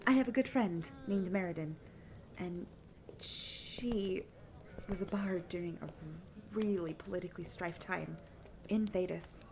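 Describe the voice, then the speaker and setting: A woman's voice: fairly low pitch, noisy background. A young woman playing a peppy traveling bard in a fantasy audio roleplay, telling tales earnestly and fondly without hurry. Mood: fond, wistful. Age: young adult. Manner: earnest, fond, unhurried storytelling.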